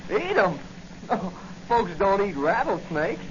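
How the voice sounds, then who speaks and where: Folksy farm kid, high voice, eager n naive, muffled old radio show. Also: male voice, very animated, slow pace, full character voice. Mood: matter-of-fact, helpful. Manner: folksy, naive, rustic grammar. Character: eager, folksy country boy who catches rattlesnakes. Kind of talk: 1940s radio acting. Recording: dull, noisy 1940 radio recording.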